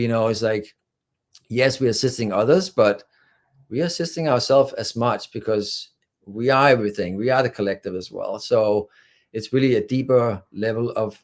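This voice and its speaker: A man talks as a guest, his voice sitting on the low side. He rambles earnestly, circling back on his words and leaning on "you know" between thoughts. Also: a little mellow. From Denmark. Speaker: guest, spiritual channeler. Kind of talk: casual interview talk.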